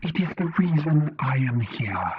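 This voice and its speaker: A man's voice: on the high side, very animated, a little slow, narrow, tinny audio, noisy background. A man voicing a solemnly polite, tentacled alien creature who speaks in a stilted, formal, literal way in an audio story.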